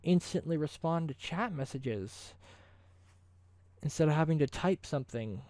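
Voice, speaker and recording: Higher-pitched man, effusive casual video host. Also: expressive, unhurried, darkish tone, no room sound. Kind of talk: casual ad-libbed promotional vlog talk.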